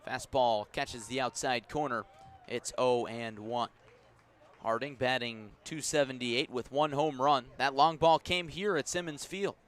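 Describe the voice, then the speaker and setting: An American man doing live radio play-by-play of a baseball game, measured in his narration and raising his voice for big plays. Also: mid-range voice, fairly bright tone. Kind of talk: live sports commentary.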